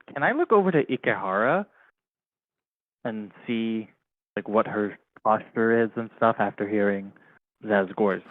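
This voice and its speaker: A man's mid-pitched voice comes through thin, phone-like audio with no room sound. He is a tabletop player talking in a casual, blunt way. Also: dry recording. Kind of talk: casual chat.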